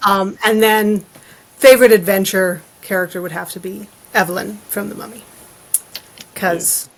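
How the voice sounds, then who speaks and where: Woman in relaxed podcast conversation. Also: mid-range voice, normal speed, slight background noise, low-quality recording. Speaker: guest author on a podcast. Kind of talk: casual chat.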